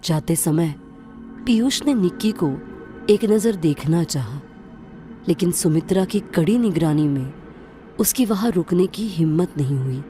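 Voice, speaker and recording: A woman narrating a story in a measured, unhurried way. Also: lowish voice, expressive, mellow, soft background music.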